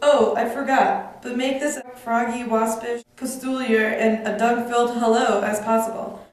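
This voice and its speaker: A woman acts out a defiant enslaved island savage for a puppet show, in a fairly high voice. The delivery is declamatory and taunting, full of bitterness. It is heard at a distance in a very reverberant room. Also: fairly even intonation, normal speed, natural voice. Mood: mocking. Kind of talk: theatrical puppet show dialogue. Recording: very echoey room, distant mic.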